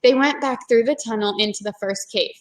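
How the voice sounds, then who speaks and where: Higher-voiced woman reading aloud to children. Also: brisk. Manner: explanatory, addressing young listeners. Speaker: teacher-like read-aloud reader. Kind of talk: children's story read-aloud with explanations.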